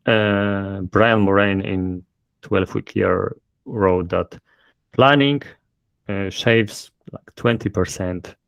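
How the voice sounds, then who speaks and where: A man's voice: low voice, creaky, no room sound. A man with a thick Polish accent, a self-published author explaining his methods in an interview, hesitant with many fillers. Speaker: guest author. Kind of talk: casual interview.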